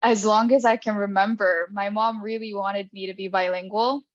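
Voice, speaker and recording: A woman's voice: medium pitch, fairly even intonation, normal speed, dry recording, muffled recording. A Japanese woman in her twenties whose first language is Japanese, speaking English with an American accent in a friendly, casual conversation and laughing easily. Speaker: guest, grad student.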